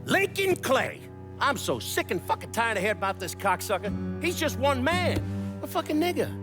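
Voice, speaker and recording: An actor playing a blunt, beleaguered, foul-mouthed middle-aged mob boss in a video game cutscene. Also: male voice, extremely high pitch, sing-song, moderate pace, music in the background. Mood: furious, exasperated. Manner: blunt, profane, bossy.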